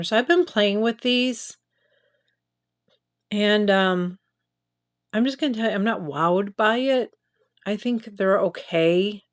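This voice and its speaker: Chatty woman, nasal from a cold. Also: medium pitch. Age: middle-aged. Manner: chatty, candid, talks while applying makeup. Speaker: beauty vlogger. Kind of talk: casual makeup review and tutorial.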